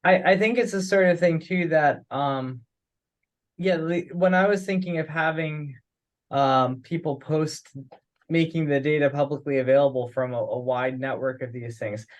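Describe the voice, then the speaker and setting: A man talking casually and haltingly in a teleconference, thinking aloud as he discusses things. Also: fairly high pitch. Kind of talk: casual conference call discussion. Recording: dull, lo-fi teleconference audio.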